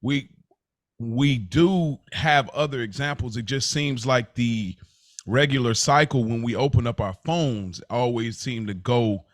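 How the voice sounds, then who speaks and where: Casting: man, average pitch, casual conciliatory podcast co-host with slangy delivery, dry studio-like sound. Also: no room sound. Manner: conciliatory, slangy, concedes before disagreeing. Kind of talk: casual chat, podcast discussion.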